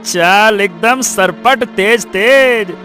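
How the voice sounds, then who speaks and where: A man voicing a haughty, mocking rich village bully in a cartoon story, with a drawn-out drawl. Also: sits high, bright, forceful tone, soft background music, exaggerated voice. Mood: sarcastic, mocking. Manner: taunting, drawn-out sarcastic drawl with rustic turns. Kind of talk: animated story voice acting.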